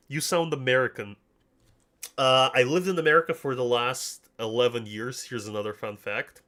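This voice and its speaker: A man's voice: medium pitch, fairly even intonation, normal speed, bright, forceful tone. A young man from Russia with a slight Russian accent, chatting casually on a livestream in a joking, slangy, self-deprecating way. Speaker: anime commentary streamer. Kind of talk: casual livestream chat, answering viewers.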